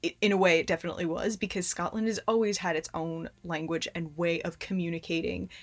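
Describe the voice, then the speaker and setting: A young adult woman with an American accent, talking casually to camera as a host in a chatty, animated way with frequent restarts. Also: fairly low pitch, quick pace. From United States. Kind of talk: casual explanatory talk to camera.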